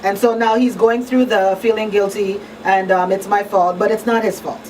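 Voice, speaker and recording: A woman hosts a talk show in a small studio, explaining conversationally. Her voice sits at a middle pitch. The pickup is somewhat distant, with faint room noise. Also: steady tone, normal speed, darkish tone. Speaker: radio show host and crisis counselor. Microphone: studio broadcast mic on boom arm.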